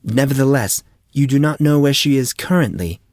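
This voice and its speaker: Man acting formal schoolteacher, raised pitch. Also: animated, normal speed, a little mellow, dry recording, studio quality, lightly acted. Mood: questioning. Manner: formal, precise questioning. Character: male schoolteacher, formal, probing, commanding in class.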